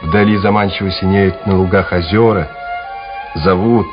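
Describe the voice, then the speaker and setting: A man's voice: sits low, steady tone, moderate pace. A man narrating a wartime radio drama in a scripted, dramatic style. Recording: slightly dull radio recording with music. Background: music.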